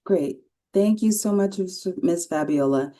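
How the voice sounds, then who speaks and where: Woman, low pitch, mellow tone, webinar moderator, encouraging. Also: unhurried. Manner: warm, encouraging thanks to panelists. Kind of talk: moderating an online panel.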